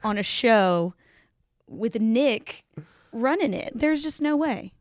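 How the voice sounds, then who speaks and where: A woman's voice: on the high side, animated, slow, no room sound. Woman chatting casually, very dry sound. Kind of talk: casual chat about a reality TV show.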